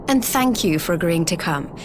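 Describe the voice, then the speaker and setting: An actress playing an earnest, friendly young envoy in a video game, speaking politely and encouragingly. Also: female voice, fairly low pitch, expressive, normal speed, slight background noise, close to an everyday voice. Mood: warm, businesslike. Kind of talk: video game cutscene voice acting.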